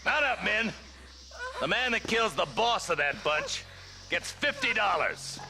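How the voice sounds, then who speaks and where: An actor playing a stern, world-weary frontier marshal in a Western game, with an American accent and a gruff, commanding manner. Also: male voice, high voice, very animated, a little slow, pushed and bright, a little hiss or hum, full character voice, rough recording. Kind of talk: cinematic game dialogue.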